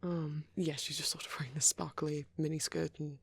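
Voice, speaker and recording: A woman voicing a shy vampire boy who rambles gently in an ASMR roleplay, soft-spoken and hesitant, slipping into breathy whispers. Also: deep voice, steady tone, moderate pace, exaggerated voice. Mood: curious, gentle.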